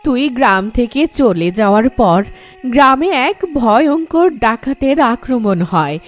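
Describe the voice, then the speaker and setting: A woman voicing the sorrowful, protective ghost of a village mother in a horror cartoon, pleading and warning in drawn-out words. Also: on the high side, lively intonation, soft background music. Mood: grave, mournful. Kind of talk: Bengali horror cartoon voice acting.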